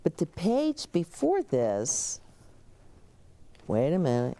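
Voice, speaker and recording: A woman's voice: low voice, wildly swooping pitch, slow, dark tone. A woman hosting a children's story time, reading aloud expressively with chatty asides, explanations and questions for young listeners.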